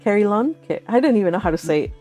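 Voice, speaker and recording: A woman's voice: medium pitch, expressive, quick pace, mellow, faint music behind. A woman, not a native English speaker, reading her own fan fiction aloud and then chatting about it, often laughing and restarting her sentences. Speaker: fanfic author reading her own story. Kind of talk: story reading and casual chat.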